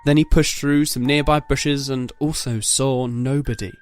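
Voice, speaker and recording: A man's voice: medium pitch, fairly even intonation, moderate pace, faint music behind. A man narrating a scripted dramatic story.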